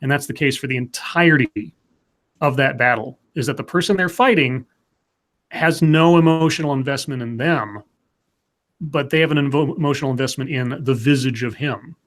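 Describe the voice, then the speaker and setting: A man's voice: mid-range voice, normal speed. A man co-hosting a live comics chat show, talking loosely with lots of restarts and fillers. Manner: rambling, animated, many restarts and fillers. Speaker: podcast co-host. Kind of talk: casual live-stream chat.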